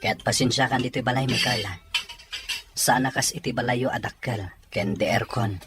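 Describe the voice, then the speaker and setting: A woman's voice: extremely low pitch, steady tone, moderate pace, over loud music. A woman playing a plain-spoken, humble young provincial man in an audio drama. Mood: modest, reserved. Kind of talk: Ilocano audio drama acting.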